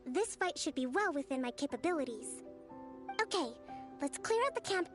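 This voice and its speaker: A woman voicing a calm, courteous young military leader in a video game, speaking in a measured, articulate and formal way. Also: high voice, over music. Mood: thoughtful, assured. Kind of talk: scripted video game dialogue.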